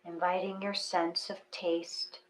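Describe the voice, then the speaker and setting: Slow, low-pitched woman guiding meditation. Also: flat delivery, slight background noise, rough recording. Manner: gentle, unhurried, with long pauses. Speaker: meditation guide. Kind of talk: slow guided meditation reading.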